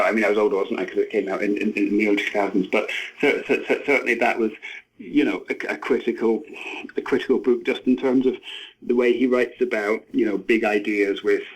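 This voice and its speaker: A man in his forties giving a thoughtful interview, speaking haltingly with repeated words and many verbal hedges. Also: fairly low pitch, steady tone, poor audio. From Scotland. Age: 40s. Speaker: interview guest, novelist and screenwriter.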